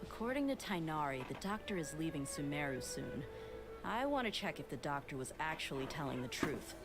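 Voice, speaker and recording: An actress playing a confident, tough female mercenary in a game, speaking in a brisk, self-assured way. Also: low-pitched, sing-song, over music. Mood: determined.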